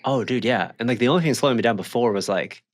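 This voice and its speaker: A man's voice: mid-range voice, fast talker. A young man co-hosting a podcast in casual, slangy chat full of bro and dude. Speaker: podcast co-host.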